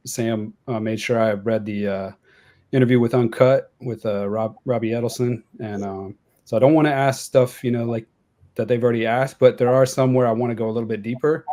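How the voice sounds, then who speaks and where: A man from Ohio hosts a podcast and asks his questions in a halting way, searching for his words. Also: medium pitch, dark tone. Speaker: podcast host. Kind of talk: interview questions.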